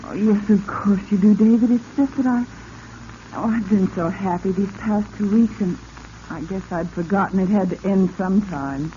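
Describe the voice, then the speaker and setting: An actress playing a lonely, genteel, wistful wealthy wife with a polite, hesitant manner in a 1940s radio drama. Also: female voice, mid-range voice, moderate pace, dark tone, natural voice. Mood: yearning. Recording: dull, noisy vintage radio recording.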